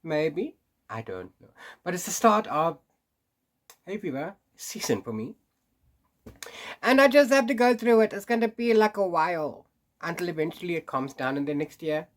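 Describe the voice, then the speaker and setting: A man's voice: high voice, normal speed, pauses often. This is a man who runs a book channel, chatting to camera in a loose, rambling way. His nose is stuffed up and sniffly from hay fever, and he keeps restarting his sentences and letting out small laughs. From South Africa. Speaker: book vlogger. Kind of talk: casual chat to camera.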